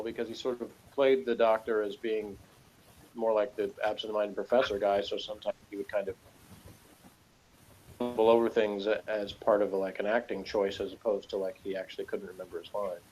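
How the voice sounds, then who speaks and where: A man chatting casually as a co-host about a TV show, in a rambling, halting way, on a dull lo-fi recording. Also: fairly low pitch, steady tone, darkish tone, a little hiss or hum. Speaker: podcast co-host. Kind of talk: casual TV episode discussion.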